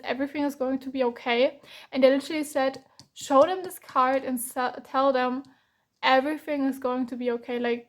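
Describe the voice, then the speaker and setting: A woman from Germany giving a casual tarot reading, hoarse with a cracking voice, reassuring and repeating herself. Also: sits high. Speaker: tarot reader.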